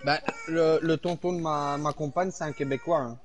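A man's voice: on the high side, steady tone, normal speed, crowd noise. A man with a Belgian French accent, calling out to his teammates during an online game. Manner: calls out urgently to teammates. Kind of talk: casual gaming chat.

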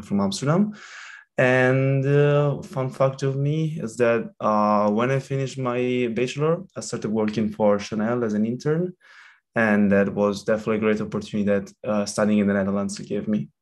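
A man's voice: fairly low pitch, a little mellow. Young man, hesitant English. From southern Italy. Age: young adult. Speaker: student panelist. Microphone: laptop webcam on video call.

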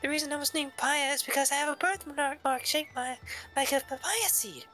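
A man voicing a shy, earnest young village woman from a video game in a polite falsetto. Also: normal speed, bright, forceful tone, soft background music. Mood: flustered, shy. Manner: polite, hesitant, stammering when flustered.

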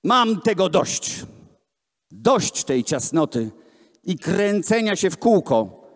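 An eager, restless young inventor from a children's storybook , voiced by a man reading aloud. The voice is raised and lively, excited and insistent. It rings through an echoing hall. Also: sits high, slow, pitched above the performer's own voice, full character voice. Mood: fed up, defiant. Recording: echoey hall, amplified voice filmed from the room.

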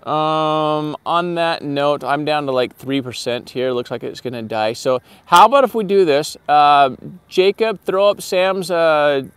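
A man's voice: fairly high pitch, unhurried, hardly any pauses, a bit bright, clear, resonant tone, no room sound. A man in his forties hosting a casual livestream from an outdoor parking lot at night, talking upbeat and chatty to viewers through a phone held at arm's length. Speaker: channel host doing a livestream. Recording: faint outdoor background noise.

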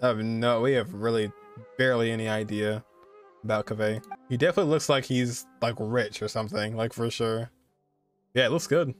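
A man's voice: medium pitch, fairly even intonation, fairly quick, soft background music. A man in his twenties streaming a game, giving informal, slangy live reactions and commentary. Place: indoor streaming setup, game audio behind.

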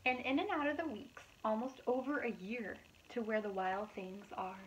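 A woman in her thirties, a preschool teacher, reads picture books aloud to young children in an expressive, animated manner with asides to her listeners. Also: a little high, normal speed, noisy background. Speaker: preschool teacher reading storytime.

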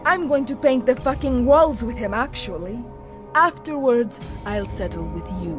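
A woman's voice: fairly high pitch, lively intonation, moderate pace, soft background music, close to an everyday voice. A woman playing a vicious, bitter, murderous vampire in a video game cutscene, scornful and venomous.

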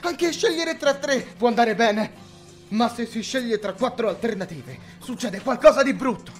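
A man voicing an excitable, indignant young gangster with an overdramatic, ranting manner in an anime fan dub. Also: very high voice, moderate pace, faint music behind.

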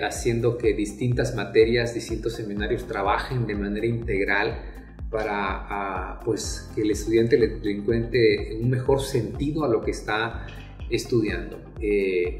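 A middle-aged man from Mexico giving a university talk, measured and hesitant, with many fillers and restarts. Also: on the low side. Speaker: university lecturer. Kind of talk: academic talk to prospective students. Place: small plain room, indoors. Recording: echoey room, faint music behind.

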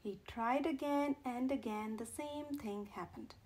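A woman reading a children's picture book aloud as a storyteller, with measured, expressive phrasing for young listeners. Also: fairly high pitch.

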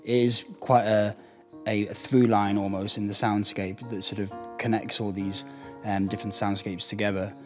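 A man's voice: on the low side, level tone, moderate pace, music in the background. A young man, a sound designer, talking casually in a panel discussion. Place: book-lined library room, seated. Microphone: microphone on a stand.

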